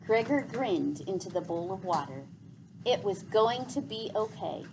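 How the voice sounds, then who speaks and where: A woman narrates a children's novel aloud in an expressive, deliberate, dramatic storybook style, as scripted reading. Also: mid-range voice, noisy background.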